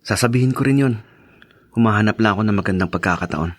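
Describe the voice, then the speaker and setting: A man's voice: lowish voice, quick pace, no echo, lightly acted. A man playing a hardworking, devoted young newlywed husband in a Tagalog radio drama, earnest and slightly hesitant. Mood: guilty, hesitant.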